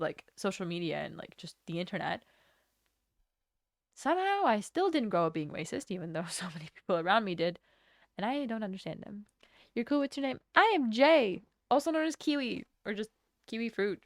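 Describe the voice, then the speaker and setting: A woman's voice: medium pitch, no echo, clean studio sound. A young woman from Latvia chatting casually on a live stream, rambling from topic to topic and swearing freely. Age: young adult.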